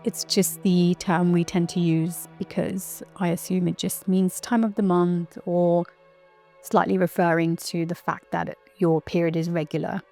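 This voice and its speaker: A woman's voice: lowish voice, little pitch movement, measured pace, warm tone, soft background music. A woman giving a plain, explanatory personal testimony.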